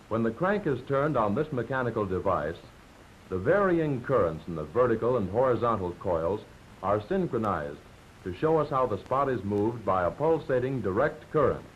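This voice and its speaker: An American man narrating a 1940s instructional film from a script, explaining in a measured, didactic way. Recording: dull, noisy 1940s film soundtrack with rumble.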